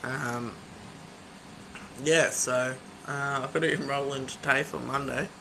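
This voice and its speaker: Bright, sharp man with background noise. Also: medium pitch, steady tone, slow pace, vocal fry, poor audio. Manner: cheeky, joking. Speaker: podcast co-host. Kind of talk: casual banter.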